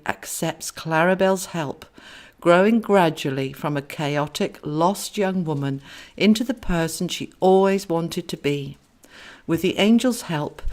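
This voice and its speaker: A woman, an author narrating her own book. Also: lowish voice. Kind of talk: audiobook narration.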